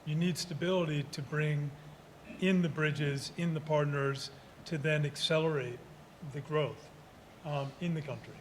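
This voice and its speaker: A man's voice: fairly high pitch, fairly even intonation, unhurried. A man speaking on a conference panel who asks the audience questions and answers them himself. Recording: echoey hall with faint noise.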